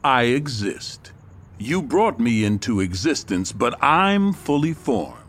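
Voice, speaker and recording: An actor playing a cocky, menacingly playful detective from a story come to life, deliberate and theatrical in an audio drama. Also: male voice, mid-range voice, sing-song, fairly bright tone, full character voice. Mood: insistent. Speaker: actor in a fiction podcast.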